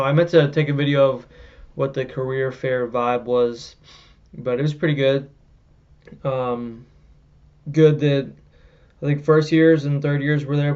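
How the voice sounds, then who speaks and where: A young man talks casually to camera in a relaxed, rambling vlog style. His voice has a creaky, gravelly edge and sits in a narrow, flat pitch range. He is losing his voice, yet the tone stays smooth and clear. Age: young adult. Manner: relaxed, rambling delivery with fillers. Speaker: vlogger and podcast host.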